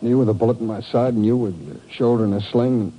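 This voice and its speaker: An actor playing a bitter, fearful, disillusioned turncoat agent with an agitated, self-mocking manner in 1950s radio drama style. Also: male voice, medium pitch, fast talker, lo-fi sound, close to an everyday voice. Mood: fearful sarcasm. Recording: old radio recording, faint noise.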